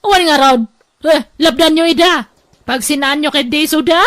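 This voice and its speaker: A woman voicing a greedy, scornful middle-aged female relative who shouts her complaints. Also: high voice, moderate pace. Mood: scornful, shouting. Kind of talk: Ilocano audio drama acting.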